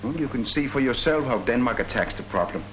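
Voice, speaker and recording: An actor playing an earnest army colonel who presents public health facts in a declamatory, rhetorical way, in the style of a 1940s education film. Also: male voice, mid-range voice, expressive, brisk, a bit bright, natural voice. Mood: earnest, explanatory. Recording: muffled, noisy 1940s film soundtrack.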